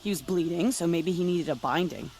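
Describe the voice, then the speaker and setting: A woman playing an excitable, indignant cloth merchant in a video game, with an emphatic way of talking. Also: lowish voice, a little fast, slight background noise, lightly acted. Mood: helpful. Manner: emphatic, exclaiming.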